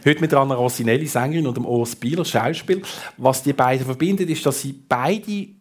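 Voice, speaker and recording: A man with a Swiss German accent and a husky voice, hosting a conversational radio interview. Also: mid-range voice, brisk. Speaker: radio talk show host.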